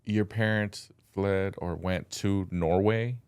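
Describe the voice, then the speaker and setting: A man with a very deep voice hosts a podcast and asks brief, relaxed questions. His voice is recorded dry, with no room echo. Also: slow, clean tone. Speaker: podcast host. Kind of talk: casual interview chat.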